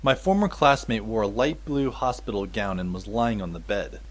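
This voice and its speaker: A man playing a wry, weary teenage schoolboy who narrates an audio drama in a dry, deadpan way. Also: fairly low pitch, normal speed, natural voice. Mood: wry, pensive. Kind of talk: scripted first-person audio drama narration.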